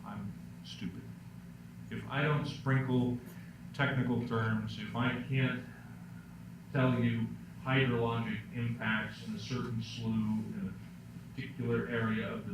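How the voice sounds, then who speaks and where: A middle-aged man with a deep voice presents a public speaking workshop, telling stories with self-deprecating jokes. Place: lecture room. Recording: echoey room, distant mic, background noise.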